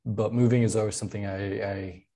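A man's voice: lowish voice, steady tone, quick pace, warm tone. A man in his twenties from Spain, speaking as a podcast guest, talking casually with many fillers, restarts and laughs. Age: 20s. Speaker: podcast guest, product designer. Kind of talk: casual interview chat.

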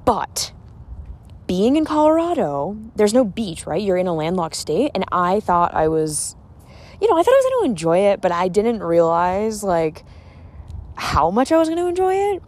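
A woman's voice: medium pitch, sing-song, normal speed, a little mellow. An American woman from the Boston area hosting a casual podcast on her own, talking in a gushing, emphatic, informal way like a motivational coach. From Boston area, eastern United States. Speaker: podcast host and confidence coach. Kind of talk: casual solo podcast monologue with motivational pep talk.

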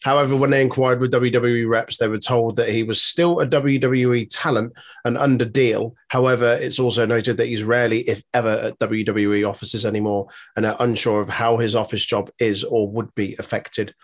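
A man co-hosting a podcast in loose, laid-back chat. His voice sits at an ordinary middle pitch, and he swears easily while reading out news items. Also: fairly even intonation, a little fast. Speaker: podcast co-host.

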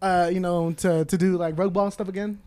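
A man's voice: high-pitched, fairly even intonation, fairly quick, a little mellow, no echo. A young man guesting on a podcast, chatting casually with slang and teasing. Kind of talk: casual chat.

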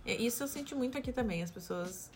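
A woman's voice: fairly high pitch, animated, fast talker, loud music behind the voice. A woman from Brazil with a southern Brazilian accent, chatting casually and often checking for agreement with little tag questions. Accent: southern Brazilian Portuguese. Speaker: international student and job seeker abroad. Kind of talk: casual chat.

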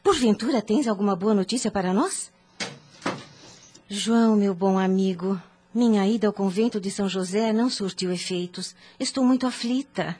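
An actress playing an anxious, devout young widowed mother in a radio drama, earnest and formal, with a Brazilian accent and husky voice. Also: medium pitch, normal speed, darkish tone, slight background noise, close to an everyday voice. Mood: anxious, hopeful.

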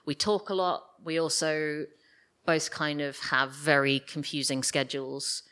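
A woman with a low, bright voice, talking as a guest at a live interview. She explains her working methods informally, in loose, meandering sentences. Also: fairly even intonation, unhurried, clean tone. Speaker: guest, audio drama writer. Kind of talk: live interview, casual chat. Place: live podcast festival stage.

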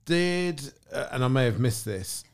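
A man's voice: mid-range voice, animated, a little slow, clean tone. A man on a film podcast, asking hesitant questions in casual chat. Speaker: podcast co-host.

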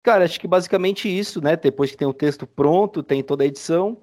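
A man's voice: a little high, fast talker, darkish tone. A man from Brazil with a Brazilian accent, chatting casually as a podcast guest and explaining his editing work. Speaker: podcast guest, audiodrama editor. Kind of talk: casual explanatory interview chat.

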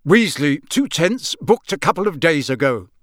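A man's voice: fairly high pitch, sing-song, moderate pace, dry recording, studio quality. A man voicing a mild, cheerful wizard father in an audiobook, polite, hesitant and innocently puzzled. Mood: bright.

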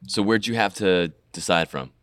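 A man from Virginia who hosts a casual interview, affirming his guest and laying out his points in measured lists. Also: low-pitched, a bit bright, no room sound, studio quality. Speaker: podcast host.

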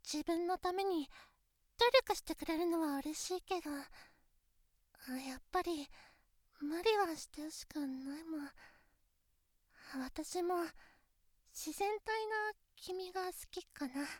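A very high, girlish voice of a shy teenage girlfriend, acted by a young woman, talking slowly and softly as if lying next to the listener. The sound is close and completely dry, with no room around it. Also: no room sound. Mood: tender. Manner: soft, intimate, pillow-talk delivery. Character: shy, insecure teenage girlfriend, female classmate. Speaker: voice actress. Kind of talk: Japanese situation voice drama. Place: studio.

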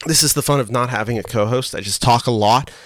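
A young man with a hoarse voice hosting a solo podcast, chatting casually and rambling with lots of fillers and self-corrections. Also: mid-range voice, fast, no room sound, studio quality. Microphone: desk mic with iPhone as webcam.

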